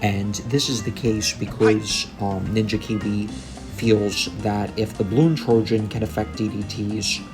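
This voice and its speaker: A young man giving gameplay commentary on patch notes, sounding tired and a little under the weather, with frequent ums. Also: fairly low pitch. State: tired, coming down with a cold. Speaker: gaming YouTuber. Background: game music.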